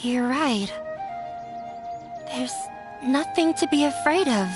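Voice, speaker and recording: A young woman who left home behind, now resolute, from a video game. Her voice is fairly high and earnest. Game music plays clearly behind her. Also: expressive, slow. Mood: determined. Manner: earnest, growing determined.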